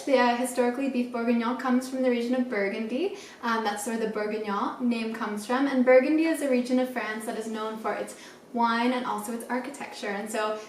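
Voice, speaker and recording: A young adult woman co-hosting a cooking show, presenting information in a chatty, informal explanatory way. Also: fairly high pitch. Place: home kitchen, indoors. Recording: echoey kitchen, distant mic, faint noise.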